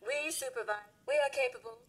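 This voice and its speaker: Husky, haughty femme fatale robot. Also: female voice, high voice, a little fast, fairly bright tone. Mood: haughty, coy. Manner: affected, drawling socialite, condescending.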